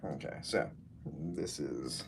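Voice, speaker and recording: A young man talks on a home livestream in a very low voice, his nose stuffed up from a cold. He rambles and jokes loosely, drifting off topic. Faint clicks sound behind him. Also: slow, vocal fry, noisy background. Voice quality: nasal, stuffy. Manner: rambling, joking, easily sidetracked. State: congested from a cold. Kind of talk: casual livestream chat.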